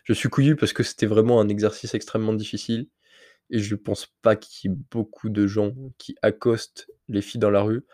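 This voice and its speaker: A man's voice: fairly low pitch, level tone, brisk, dark tone, no room sound, clean studio sound. A man with a slight cold, hosting his own podcast and telling a personal story in a candid, rambling way straight to the listener. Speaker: podcast host. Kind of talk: casual solo podcast monologue.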